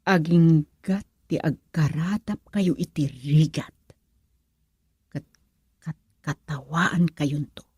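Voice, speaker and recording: Taunting, husky Filipino mistress. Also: female voice, deep voice, a little mellow, no room sound, exaggerated voice. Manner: taunting, drawn-out, swinging delivery. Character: scheming, mocking mistress bent on revenge. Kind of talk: radio drama acting.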